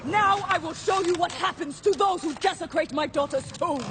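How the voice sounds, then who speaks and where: A woman's voice: extremely high pitch, moderate pace, background noise. An actress playing a fanatical, grieving mother turned murderous villain in a video game, theatrical and intense. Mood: furious. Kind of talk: dramatic video game acting. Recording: cavernous echo with long reverb, loud music.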